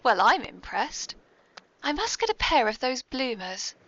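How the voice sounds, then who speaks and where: Woman playing a high-voiced, prim British lady mastermind. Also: very animated, unhurried, fairly bright tone, exaggerated voice. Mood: arch, amused. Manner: prim, formal, arch, polite. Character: genteel, mischievous lady mastermind with secret lair. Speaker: podcast host. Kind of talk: scripted comic audio drama. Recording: dull lo-fi podcast recording.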